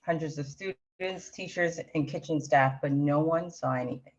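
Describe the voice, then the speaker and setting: A man's voice: on the high side, normal speed, muffled recording, lightly acted. A man playing a persistent, frustrated police detective, questioning plainly in a screenplay read-through. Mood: frustrated, insistent. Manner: probing, plain-spoken questioning. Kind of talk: screenplay table read acting.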